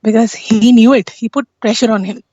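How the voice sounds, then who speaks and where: High-voiced woman, dull lo-fi audio. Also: fairly even intonation, brisk, warm tone, no room sound. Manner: insistent, often seeks agreement with tag questions. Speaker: guest on a live talk stream. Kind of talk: casual explanatory discussion.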